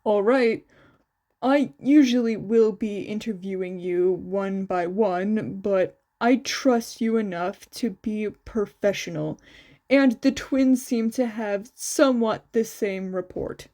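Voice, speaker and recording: Woman as a slow, serious male investigator. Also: medium pitch, mellow, close to an everyday voice. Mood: businesslike. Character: serious adult male investigator, questioning and skeptical. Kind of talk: acted dialogue in fan fiction audio.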